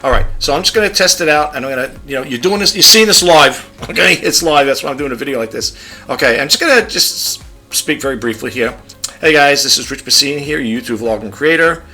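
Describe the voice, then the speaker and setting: A man vlogging a casual tech demo at his home desk, chatty and rambling, in a low-key, fairly monotone voice. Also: fairly quick, faint music behind. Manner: chatty, rambling, demonstrating gadgets. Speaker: YouTube vlogger.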